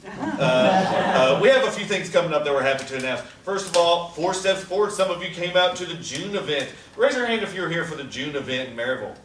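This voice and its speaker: A man's voice: on the high side, animated, a little fast. A man making casual announcements to a theater audience, chatty and teasing. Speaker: theater company organizer. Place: theater or event room. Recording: roomy, from far off, faint noise.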